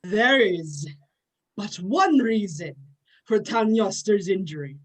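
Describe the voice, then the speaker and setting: Woman playing a slow, pompous thunder god. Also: medium pitch, expressive, slightly rough. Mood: grave, menacing. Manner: pompous, declamatory. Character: proud, boastful male thunder god, solemn and threatening. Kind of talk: amateur dramatic reading of a myth.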